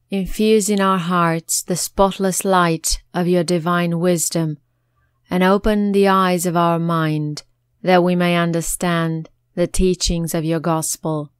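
A woman with a fairly low voice recites prayers slowly and reverently. Each line is measured and even. The sound is dry and close, with no room around it. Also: clear, resonant tone, no echo. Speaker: prayer leader.

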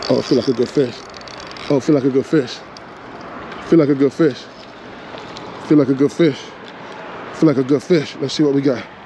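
A man's voice: medium pitch, moderate pace, long pauses, background noise. Man muttering to himself while fishing. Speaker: fishing vlogger. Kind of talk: casual running commentary. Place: outdoors on a rocky seashore. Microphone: body-mounted action camera.

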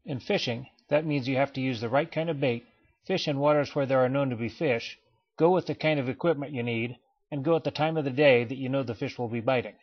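A man narrates a training slideshow in a steady, explanatory manner, his voice sitting at an ordinary middle pitch. The recording sounds slightly dull and lo-fi, like a plain home voice-over. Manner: measured, even explanatory delivery. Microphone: voice-over, not recorded in pictured place.

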